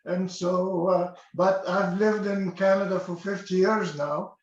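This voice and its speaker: Older guy from egypt, kinda high voice, lots of uh and restarts, echoey room. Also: fairly even intonation, unhurried. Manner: hesitant, many fillers and restarts. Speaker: interview guest. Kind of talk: casual interview. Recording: echoey dull-sounding room.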